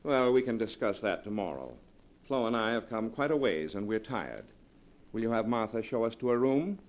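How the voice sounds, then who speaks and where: A smooth sneaky nephew, mocking, voice goes up and down a lot, old radio sound. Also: male voice, lowish voice, lively intonation, moderate pace. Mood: sardonic. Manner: suave, mocking. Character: scheming nephew, smooth and sardonic. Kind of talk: 1940s radio acting. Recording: old radio broadcast recording.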